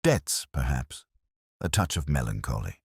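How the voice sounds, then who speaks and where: A man's voice: rumbling low, very animated, a little slow, gravelly, darkish tone, husky, dry recording, studio quality, pitched below the performer's own voice, heavily acted. A man voicing a pale college bursar in a mystery story, grave and full of practiced sorrow. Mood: mournful.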